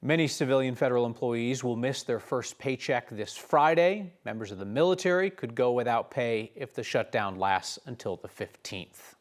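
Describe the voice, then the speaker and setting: A man's voice: medium pitch, expressive, normal speed, hardly any pauses. An American man anchoring a newscast with scripted news narration.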